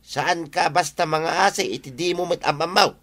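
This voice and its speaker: A man's voice: on the high side, moderate pace, a bit bright, lightly acted. An actor playing a nagging, suspicious village man in an Ilocano radio comedy drama, with an Ilocano accent.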